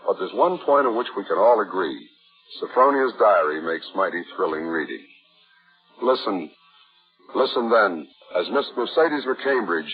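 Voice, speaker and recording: A man's voice: on the low side, husky. A man introducing a radio drama as host, in a wry, formal scripted style. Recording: tinny old radio broadcast, faint music.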